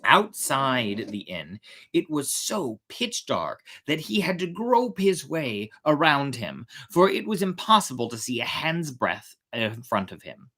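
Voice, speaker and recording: A woman narrating a storybook as host, in a theatrical, expressive reading style. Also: a bass voice, unhurried, slightly rough. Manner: theatrical, dramatic.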